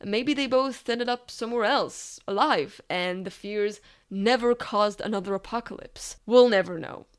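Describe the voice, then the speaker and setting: Clear-voiced woman narrating a video essay. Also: mid-range voice, moderate pace. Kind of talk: scripted analytical narration.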